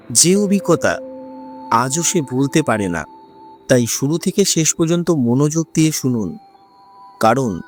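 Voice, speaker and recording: A man narrates a horror tale with heavy suspense, drawing out his phrases for effect. His pitch rises and falls in a very animated way. Faint music plays quietly behind him. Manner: suspenseful, drawn-out dramatic delivery. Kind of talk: scripted dramatic horror narration.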